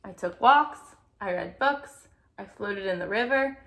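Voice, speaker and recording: A woman voicing an earnest, anxious-to-please male egg in an expressive children's picture-book read-aloud. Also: medium pitch, unhurried. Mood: reflective. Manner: expressive storybook reading with playful emphasis.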